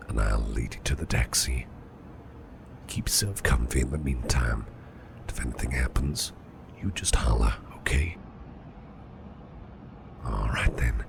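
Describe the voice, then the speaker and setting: A man playing a caring, protective businessman boss in an ASMR roleplay, speaking in a soothing, reassuring, breathy half-whisper. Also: a bass voice, noisy background, full character voice. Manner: soothing, reassuring, affectionate, gently murmured. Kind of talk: soft ASMR roleplay, personal attention.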